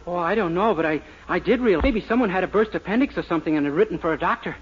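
A high-pitched, earnest young fellow who is cheerfully dim and guileless. The style is 1940s radio comedy, with a muffled, hissy sound. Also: male voice, animated, very fast. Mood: earnest, oblivious. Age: young adult. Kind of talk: 1940s radio sitcom acting. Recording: muffled, noisy old radio broadcast.

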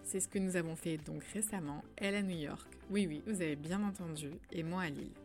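A woman's voice: lowish voice, a little fast, a little mellow, faint music behind. A woman hosting a podcast, speaking informally and feeling her way through her questions in a conversational interview. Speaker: podcast host interviewing a guest.

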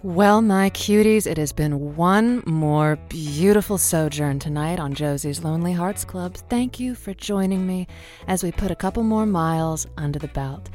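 A woman's voice: on the low side, normal speed, darkish tone, soft background music, lightly acted. A woman playing a blunt, sassy radio advice show host in an audio drama, with a theatrical, sardonic, wordy delivery. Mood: flirty showmanship. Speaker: call-in radio host character.

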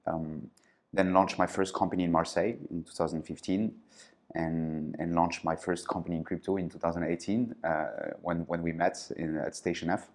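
A man's voice: deep voice, level tone, moderate pace. A man from France, telling his founder story in a casual interview, hesitant, with many fillers and restarts. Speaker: podcast guest, startup founder.

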